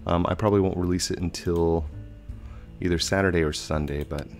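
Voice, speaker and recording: A man in his thirties casually narrating a video game as he plays, chatty and rambling, a little hoarse and congested from a cold, on a headset mic at home. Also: low-pitched, fairly even intonation, brisk, faint music behind. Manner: chatty, rambling, with frequent fillers. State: slightly hoarse with a head cold. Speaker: gaming channel host. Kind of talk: casual let's play commentary.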